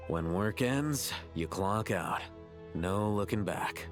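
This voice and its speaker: A man's voice: fairly low pitch, lively intonation, slow pace, faint music behind. An actor playing a composed young male scholar in a game cutscene, dry and matter-of-fact. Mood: calm, dry.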